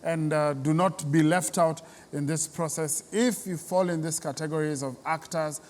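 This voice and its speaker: A man's voice: fairly high pitch, fairly even intonation, moderate pace, a little mellow. A man with a Kenyan accent hosting an unscripted TV interview, encouraging his guest and repeating himself as he searches for words. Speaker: interview host. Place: large reverberant theatre building. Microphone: speaking on camera. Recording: echoey hall, background noise.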